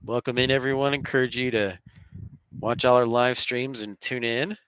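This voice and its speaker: A man's voice: mid-range voice, fairly even intonation, moderate pace, a bit bright, clean tone, no echo, poor audio. A man with a congested voice from a cold and sore throat, chatting casually and welcoming viewers as he hosts a live plane-spotting stream. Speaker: livestream host, aviation spotter. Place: live at an airport.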